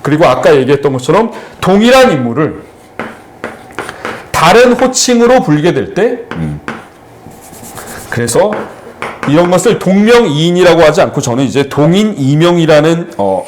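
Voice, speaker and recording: A man's voice: a little high, very animated, normal speed, pauses often, a little hiss or hum. A middle-aged man tutoring exam literature, lecturing at a seminar in an explanatory way with frequent fillers and restarts.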